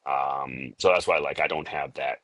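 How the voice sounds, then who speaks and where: Interview guest: man, forties, from Liberia, Boston accent, very low voice, dry close sound, halting with fillers. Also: fairly even intonation, fairly bright tone, no echo. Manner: halting, many fillers and restarts. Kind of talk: casual interview talk.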